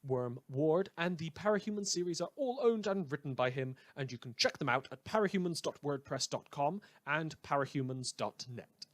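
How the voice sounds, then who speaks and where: A man's voice: fairly high pitch, lively intonation, normal speed, few pauses, no echo. A man delivering scripted narration as the announcer of a fan audio production.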